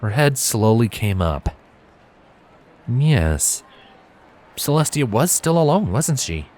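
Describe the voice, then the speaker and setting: A man narrating a scripted story with expressive, dramatic delivery. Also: medium pitch, slow. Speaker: fan fiction narrator.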